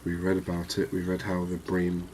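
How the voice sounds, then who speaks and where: A man giving quiet, cozy ASMR commentary on a game, unhurried and thinking aloud. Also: deep voice, completely flat delivery, slight background noise. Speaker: gaming video host.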